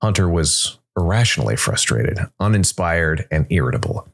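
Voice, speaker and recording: A man narrating a scripted dark fantasy story in a measured, deliberate way. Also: low-pitched, sing-song, gravelly. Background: music.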